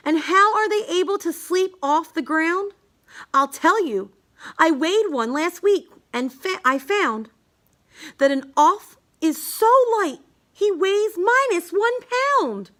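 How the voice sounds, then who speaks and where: A woman reading a rhyming children's bedtime story aloud, halting now and then with small stumbles over words. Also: extremely high pitch, animated, fairly bright tone. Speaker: storybook reader.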